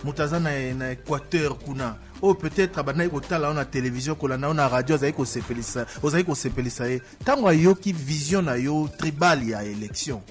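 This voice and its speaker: A Congolese man with a slightly high voice speaks as a radio co-host. His style is casual and challenging, as an interviewer pressing a guest, with music in the recording. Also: fast talker, few pauses. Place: radio studio.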